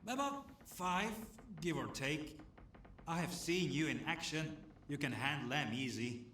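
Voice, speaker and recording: An actor playing a friendly, slangy scavenger in a video game, speaking casually with some hesitation. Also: male voice, fairly high pitch, lively intonation, a little slow, sharp tone, echoey room, slight background noise. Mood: uneasy, persuasive. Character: chatty scavenger stalker man asking a stranger for help. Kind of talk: video game dialogue acting.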